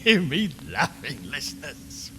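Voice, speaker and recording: A man's voice: on the high side, huge pitch swings, unhurried, pushed and bright, a little hiss or hum, full character voice. A man playing a radio reporter losing his mind in a haunted house, ranting and muttering brokenly in an audio horror drama. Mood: unhinged. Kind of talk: audio horror drama acting, live broadcast monologue.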